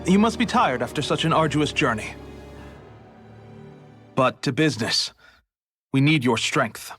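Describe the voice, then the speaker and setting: A guarded rebel leader, dry and measured in a mid-range voice. Soft game music plays beneath him. He sounds wary and sardonic. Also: medium pitch, sing-song. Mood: earnest. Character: wary male rebel leader, middle-aged. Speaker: resistance leader. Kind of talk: video game voice acting.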